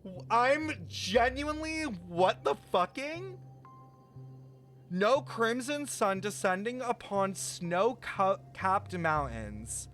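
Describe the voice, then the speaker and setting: A man livestreaming a game, reading its text aloud and thinking through it in an animated, puzzled way. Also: high voice, takes it slowly, slight background noise. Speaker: game streamer. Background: game music.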